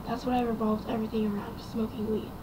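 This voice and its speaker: A young woman speaks to camera in a raspy, quiet voice, a little higher than most. She rambles through her story with frequent ums and likes. The home recording carries clear background noise. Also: flat delivery, fast talker. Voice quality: raspy and quiet from vocal cord damage. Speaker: vlogger. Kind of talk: casual personal story to camera.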